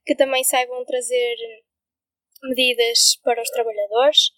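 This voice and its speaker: A young woman with a European Portuguese accent, her voice a little higher than usual, talks in an agreeable, searching way with frequent fillers. She sounds close to the mic in a dry space. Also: little pitch movement, unhurried, fairly bright tone, dry recording. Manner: agreeable, often affirming others, hesitant fillers. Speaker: podcast co-host. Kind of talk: casual podcast discussion.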